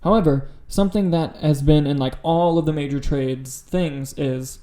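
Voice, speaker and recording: A man's voice: a little high, moderate pace, warm tone. A man co-hosting a casual podcast, talking about film news in a blunt, profane, opinionated way. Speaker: podcast co-host.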